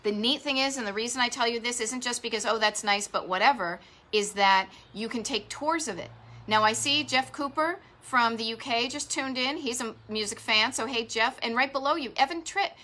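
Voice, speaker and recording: A woman's voice: a little high, moderate pace, sharp tone. A middle-aged woman hosting a casual live stream, chatting in a rambling way and turning aside to greet viewers. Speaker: host of a live travel show.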